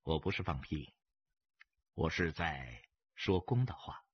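A man voicing a calm, defiant stranger who speaks coolly and mockingly in an audiobook story. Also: a bass voice, very slow, breathy edge, lo-fi sound, voice pushed down, heavily acted. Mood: cold, calm. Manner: flat, cool, quietly mocking. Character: cold, unkempt male drifter, calmly defiant stranger.

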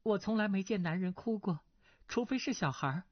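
A man's voice: high voice, a little fast, voice pushed up. A man putting on an artless young girl's voice. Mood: innocent curious. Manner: artless, direct questions. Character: naive sheltered young woman, blunt and curious. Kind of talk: storytelling, reading a martial arts novel. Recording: slightly dull lo-fi recording.

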